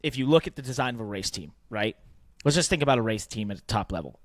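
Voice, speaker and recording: A man's voice: medium pitch, expressive, fast talker, no echo. A man co-hosting a podcast, explaining his points conversationally in a casual sports business discussion. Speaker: podcast co-host.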